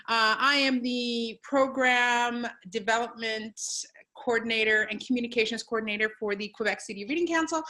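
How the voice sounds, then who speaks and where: A woman's voice: a little high, fairly bright tone. A woman hosting a live educational webinar, upbeat and encouraging, with frequent fillers. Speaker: webinar host and program coordinator.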